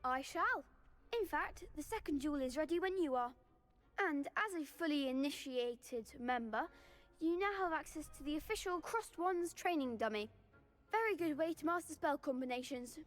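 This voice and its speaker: Dueling club host, high pitched, theatrical, encouraging, game character. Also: male voice, lively intonation, moderate pace, fairly bright tone. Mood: congratulating. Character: brisk proper male dueling club host. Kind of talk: video game dialogue.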